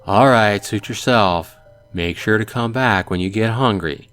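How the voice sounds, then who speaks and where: A man reading a visual novel aloud, voicing a cheerful, teasing father in a relaxed, joking way. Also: fairly low pitch, unhurried, soft background music. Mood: easygoing. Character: easygoing cheerful dad, teasing.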